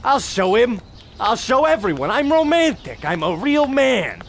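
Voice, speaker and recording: A man's voice: extremely high pitch, very animated, measured pace, a little hiss or hum, heavily acted. A man playing a touchy, clueless, proud husband in a comic radio play, with an indignant, bombastic delivery. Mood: indignant, determined. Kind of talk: comic old-time radio play acting.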